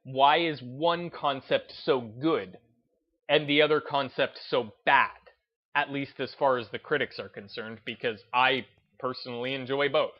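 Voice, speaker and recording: A man's voice: mid-range voice, measured pace, muffled recording. A man giving casual opinion commentary as a video host, emphatic and repeating phrases for stress.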